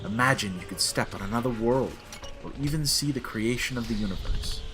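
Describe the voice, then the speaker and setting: A man's voice: medium pitch, brisk, over music, close to an everyday voice. A man playing a grandiose, exasperated alien time traveller in a comic audio drama, theatrical and dry.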